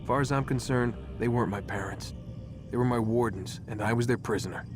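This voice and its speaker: A man's voice: mid-range voice, brisk, music in the background, lightly acted. An actor playing a sardonic, guarded young American man in a video game cutscene, talking in a casual, wry way. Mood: bitter. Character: sardonic, guarded young man, reluctant runaway assassin captive.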